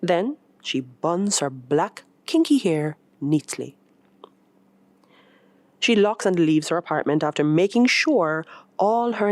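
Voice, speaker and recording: A woman reading a short story as scripted narration, in an expressive, measured storytelling manner. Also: low voice, no echo. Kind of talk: scripted narration of literary fiction.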